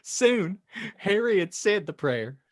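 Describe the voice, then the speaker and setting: Theatrical man with widely swinging pitch. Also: big pitch swings, slow pace, no echo, studio quality. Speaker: podcast host and fan fiction reader. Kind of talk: theatrical comic reading and banter.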